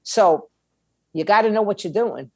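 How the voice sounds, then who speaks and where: Middle-aged female podcast guest, mid-range pitch. Straight-talking, emphatic, street-smart advice, very dry sound. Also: lively intonation, unhurried, mellow, no echo. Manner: blunt, streetwise, emphatic with rhetorical questions. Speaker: podcast guest, music attorney and indie label owner. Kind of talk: conversational advice in an interview.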